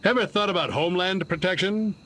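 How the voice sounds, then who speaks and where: An actor playing a hard-boiled 1950s government agent in a video game, with brisk, salesman-like patter. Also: sits high, sharp tone, slight background noise. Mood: persuasive, slick.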